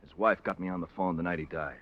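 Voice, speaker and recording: An actor playing a bitter, cornered ex-convict and wife killer, halting and rough in speech, in a 1950s radio crime drama. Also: male voice, low voice, natural voice. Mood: bitter resignation. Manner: halting, rough colloquial grammar. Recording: muffled vintage radio recording.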